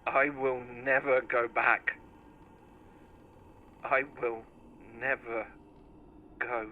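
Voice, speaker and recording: A man narrating a scripted first-person horror story in a deliberate, theatrical storytelling manner. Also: mid-range voice, flat delivery, phone-quality sound, a little hiss or hum.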